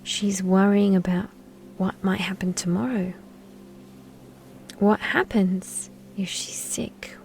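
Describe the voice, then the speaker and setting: A woman speaking in a soft, breathy half-whisper, soothing and gentle, guiding children through a sleep meditation and bedtime story with lulling pauses. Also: mid-range voice, steady tone, slight background noise. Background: soft background music.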